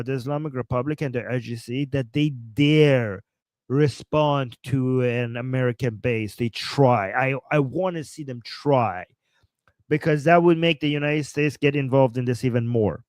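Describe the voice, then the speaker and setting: A man with a Persian accent hosts a casual livestream. His voice sits fairly high, and it sounds dry and close. He talks in an excited, rambling way, breaking off and restarting his sentences. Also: no echo. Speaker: political livestream host.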